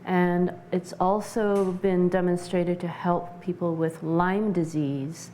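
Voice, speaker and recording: Mellow, low-voiced woman explaining. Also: fairly even intonation, a little hiss or hum. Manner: measured, halting, searching for words. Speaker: interview guest, essential oils practitioner. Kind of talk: explanatory interview answers.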